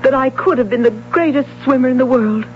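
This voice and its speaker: An actress playing a young runaway swimmer, weary and defiant, hesitant and emotional, in a 1970s radio drama. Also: female voice, sits high, brisk, lightly acted. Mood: anguished, resolute. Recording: dull, noisy 1970s radio recording with hum.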